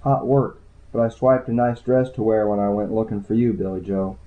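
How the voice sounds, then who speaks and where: A man voicing a tired, devout young woman who sees the future, speaking softly and earnestly. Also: fairly low pitch, level tone, brisk, close to an everyday voice. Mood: weary. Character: weary sniffling young woman seeress, devout. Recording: tinny phone-like audiobook.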